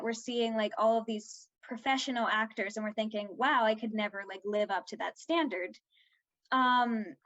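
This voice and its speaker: A young woman with a fairly high voice talks casually, as an actor in an interview. She is informal and says "like" very often. The audio is slightly dull and lo-fi. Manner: informal, heavy use of "like".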